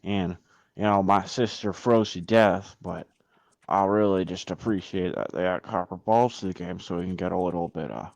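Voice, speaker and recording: A young man on a podcast, improvising deadpan comic anecdotes in a casual, understated, halting way. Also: sits low, gravelly, no room sound, dull audio. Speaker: podcast member. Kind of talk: improvised deadpan comedy chat.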